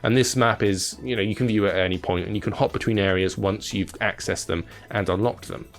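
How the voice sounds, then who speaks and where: A man's voice: on the low side, fairly even intonation, a little fast. A man presenting games with casual gameplay commentary in a chatty, informal, slangy manner. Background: music.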